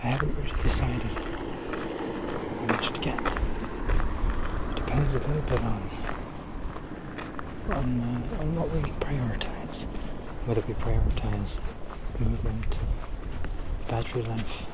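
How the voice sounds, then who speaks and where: Young guy doing soft asmr chat on a walk outside, mid-pitched voice, really loud wind noise. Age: young adult. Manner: gentle, unhurried, musing with pauses. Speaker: ASMR creator. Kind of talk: soft-spoken ASMR roleplay, casual monologue. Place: outdoors on night streets, walking. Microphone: handheld camera at arm's length, wind-buffeted.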